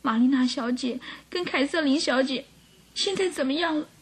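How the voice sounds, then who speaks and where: An actress playing a gentle, sorrowful young governess, earnest and emotional, in a radio drama. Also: female voice, high-pitched, normal speed. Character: gentle, sorrowful young governess under suspicion, pleading tearfully.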